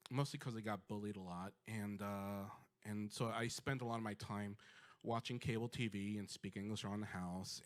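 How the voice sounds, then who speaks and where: A man from Puerto Rico telling a personal story on a small dark stage, with many ums and restarts. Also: lowish voice.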